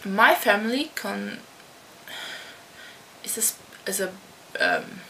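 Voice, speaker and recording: A Dutch-born teenage girl chatting to her webcam at home, answering tag questions slowly with many restarts. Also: mid-range voice, huge pitch swings, gravelly, pushed and bright. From Netherlands. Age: teens. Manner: slow and halting, with many restarts. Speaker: vlogger. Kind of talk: casual talk to camera answering a tag. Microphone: computer webcam. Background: faint hum of computer fan.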